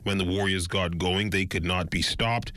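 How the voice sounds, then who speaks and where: A man reading the sports news as a television anchor, in a measured announcer's delivery. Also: low-pitched, fairly even intonation, pushed and bright, no room sound.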